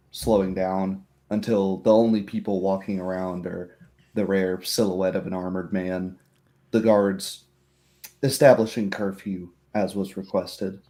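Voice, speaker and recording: A man narrating a tabletop fantasy game as game master, in measured, atmospheric phrases. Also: low voice, dark tone.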